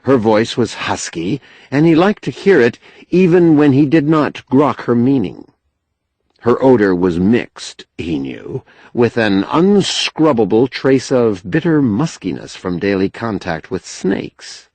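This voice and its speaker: A man narrating a novel as an audiobook in a measured, expressive storytelling manner. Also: mid-range voice, no room sound. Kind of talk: scripted literary narration.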